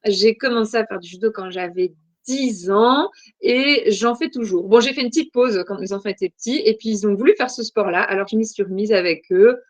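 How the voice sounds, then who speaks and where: A woman's voice: mid-range voice, a little fast, dry recording. A woman in her forties from Belgium, a podcast guest answering questions in a thoughtful, hesitant way with many fillers. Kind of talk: casual interview answers.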